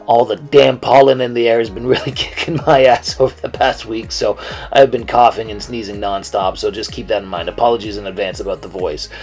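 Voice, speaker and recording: A young man hosting his cooking channel, his voice hoarse and stuffed up, walking through a recipe in a laid-back, joking way and swearing now and then. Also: lowish voice, steady tone, a little fast, darkish tone, faint music behind. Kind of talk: casual recipe walkthrough.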